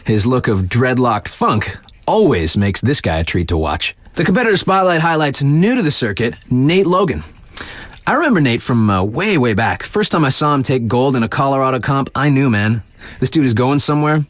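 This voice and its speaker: A male actor's take on a laid-back sports radio DJ for a snowboarding game. He has a mid-pitched male voice that moves around a lot, in a dry studio sound. His delivery is chatty and slangy, slipping from announcer patter into casual, halting asides. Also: sing-song, no echo, lightly acted. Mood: musing, amused.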